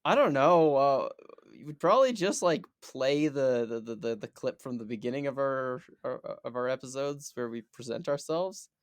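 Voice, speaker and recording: A man co-hosts a casual podcast, joking and rambling as he goes. He often stammers and restarts his sentences in a loose, playful way. His voice sits at a middling pitch and sounds dry and close to the mic. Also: lively intonation, moderate pace, dry recording. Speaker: podcast co-host. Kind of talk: casual chat.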